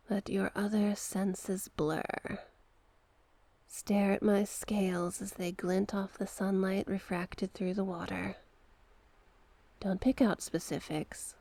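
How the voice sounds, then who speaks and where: A woman plays a sea witch who soothes and possesses in equal measure. Her speech is very slow and close, in a dry space, with a crackle of vocal fry. She teases and coaxes in a hypnotic ASMR monologue, often sinking into breathy whispers. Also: medium pitch, darkish tone, no echo. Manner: teasing, coaxing, often dropping into breathy whispers.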